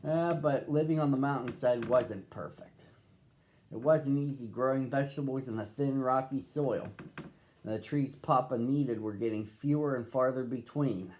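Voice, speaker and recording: Mellow-voiced man reading a children's story. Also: medium pitch, normal speed, a little hiss or hum. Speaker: storybook reader. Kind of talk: children's read-aloud storytelling.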